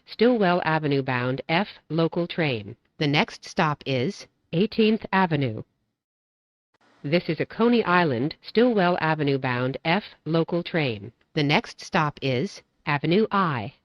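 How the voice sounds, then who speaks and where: A woman with a low-pitched voice delivers scripted train announcements. Her delivery is measured and formulaic, like a set transit script. The recording is dry and a little dull. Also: no room sound.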